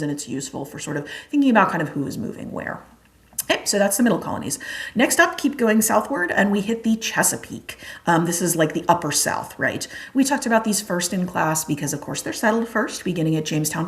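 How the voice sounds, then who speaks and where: Young woman teacher going over exam stuff, low voice, lots of likes and ums. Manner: chatty, many fillers like "like" and "um". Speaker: history teacher. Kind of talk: exam review lecture.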